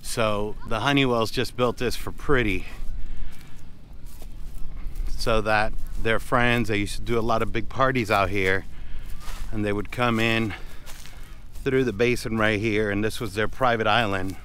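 A man's voice: on the low side, normal speed, slight background noise. A man, a boat captain casually narrating a walking tour outdoors and talking straight to his viewers. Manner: relaxed, conversational, addresses viewers directly. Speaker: fishing boat captain and vlogger. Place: outdoors on a small island.

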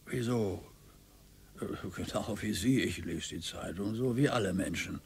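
A man's voice: fairly low pitch, a little slow, slight background noise. An actor playing a nervous, worn-out retired man of sixty-eight in a radio play, grumbling and weary, sometimes flaring into quarrels. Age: older. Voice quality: gravelly, husky.

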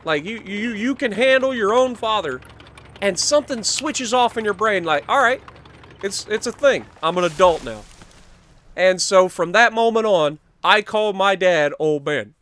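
A man's voice: high voice, lively intonation, a little slow, fairly bright tone. A young American man casually telling a story over tank game play in short, emphatic phrases, as host of a gaming video.

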